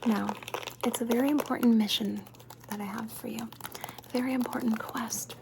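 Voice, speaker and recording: A woman's voice: on the high side, fairly even intonation, normal speed, gravelly, background noise. A woman performing an ASMR personal-attention roleplay, soothing and playful, repeating words and short phrases over and over.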